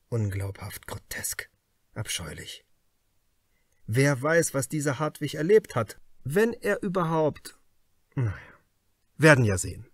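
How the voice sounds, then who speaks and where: A man playing a calm, unshakeable police detective. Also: on the high side, dry recording, clean studio sound. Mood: brooding. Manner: firm, deliberate. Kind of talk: audiobook character dialogue.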